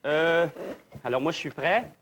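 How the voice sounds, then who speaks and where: A man's voice: on the high side, animated, measured pace, fairly bright tone, slight background noise. A man hosting a television game show in a chatty, jovial, exclamatory manner. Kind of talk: live presenting, chatty. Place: television studio.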